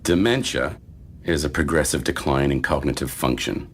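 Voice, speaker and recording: A man playing a sardonic doctor in his fifties, deadpan and wry, in a screenplay reading. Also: moderate pace. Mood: dry, explaining. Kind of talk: screenplay table read. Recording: faint low rumbling noise.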